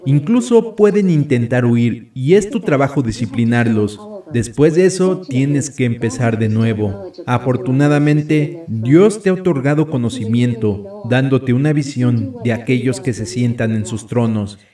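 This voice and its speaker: A man's voice: mid-range voice, expressive, measured pace, mellow, distant mic, lightly acted. A man voicing a self-righteous psychopathic killer in a grave, sermonizing manner for a horror story. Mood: grave preaching. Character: self-righteous psychopathic killer preaching about ungrateful children.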